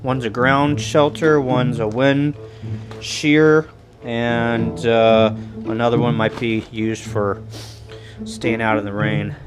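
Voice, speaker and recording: A man doing a casual show-and-tell to camera in a halting, list-like delivery. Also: mid-range voice, little pitch movement, over music. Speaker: vlogger.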